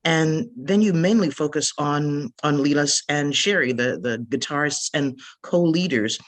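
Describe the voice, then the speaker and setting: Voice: mid-range voice, a little slow. An interviewer for a film festival podcast, asking long, thoughtful questions with small restarts.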